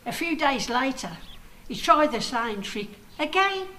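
Woman acting a lively, high storybook voice. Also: unhurried, exaggerated voice. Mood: plain, earnest. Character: storybook narrator, sheep and wolf. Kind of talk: scripted storybook radio play. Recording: faint music behind.

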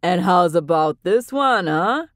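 A woman's voice: on the low side, expressive, takes it slowly, no echo, studio quality. A woman doing a brash, teasing man. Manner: blunt, teasing. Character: brash, gruff middle-aged man, teasing host.

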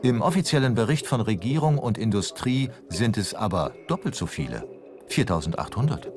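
A man's voice: fairly low pitch, big pitch swings, normal speed. A man with a standard German accent reads scripted television documentary narration. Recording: music behind the voice. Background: background music.

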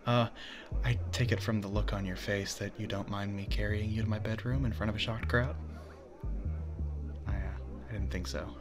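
A man voicing a smitten, possessive spirit in a young man's body, breathy and stammering, in an intimate ASMR roleplay. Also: lowish voice, steady tone, moderate pace, over music, close to an everyday voice. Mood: smitten, nervous. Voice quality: breathy, often dropping to whispers. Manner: awestruck, stammering, eager.

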